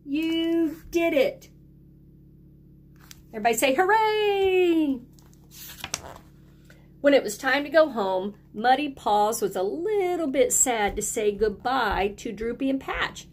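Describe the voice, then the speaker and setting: A woman's voice: a little high, animated. A woman reading a children's picture book aloud like a teacher, speaking simply and prompting young listeners to join in. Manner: simple, deliberate phrasing, prompting children to repeat words.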